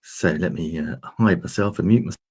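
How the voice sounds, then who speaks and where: A man hosting a livestream, chatting loosely through a software and gear demo with lots of fillers, his voice a little croaky. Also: deep voice, brisk. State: slightly croaky voice. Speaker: host demonstrating streaming gear. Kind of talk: casual tech demo talk. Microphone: desk microphone.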